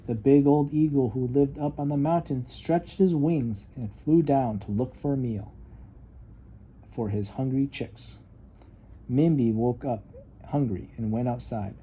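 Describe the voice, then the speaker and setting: A man reading a children's story aloud with expressive, emphatic narration. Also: mid-range voice, unhurried, husky, poor audio. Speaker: storybook reader.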